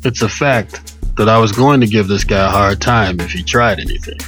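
A man with a fairly low voice narrates a personal story like a radio storyteller. His delivery is colloquial and wry, measured in its phrasing, with music playing faintly underneath. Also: fairly bright tone. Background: music bed.

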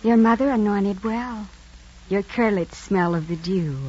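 An actress playing a soothing, manipulative lover of a Roman emperor, softly coaxing in old radio drama style. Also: female voice, mid-range voice, unhurried, slightly rough. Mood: coaxing, seductive. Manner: soft, coaxing. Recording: dull, noisy old radio recording.

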